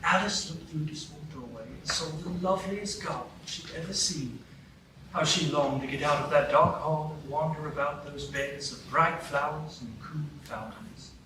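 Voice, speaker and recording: A man narrating a storybook radio play in an expressive, sing-song way. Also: fairly high pitch, moderate pace, recorded from a distance. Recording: echoey room, mic from afar, noisy.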